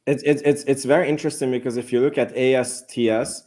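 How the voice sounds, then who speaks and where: Halting male guest analyst, casual. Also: medium pitch, fairly even intonation, darkish tone. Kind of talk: casual discussion.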